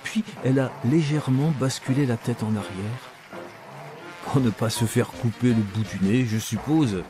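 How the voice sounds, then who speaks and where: An actor playing a man who recounts a childhood memory in an audio drama, moving between hushed and excited storytelling. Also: medium pitch, normal speed, close to an everyday voice. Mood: wistful. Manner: expressive, swinging from hushed to excited. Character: man recounting his childhood circus mishap, wistful, vivid storyteller. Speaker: narrator of an audio fiction. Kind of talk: dramatised first-person storytelling. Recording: music bed under the voice.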